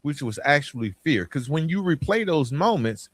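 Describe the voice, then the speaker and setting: A man's voice: on the high side, big pitch swings, moderate pace, fairly bright tone, no room sound. Atlanta man talking straight, dry close sound. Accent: Southern US, Atlanta. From Atlanta, southern United States. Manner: conversational and emphatic, repeating phrases and posing rhetorical questions. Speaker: podcast host. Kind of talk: informal motivational monologue.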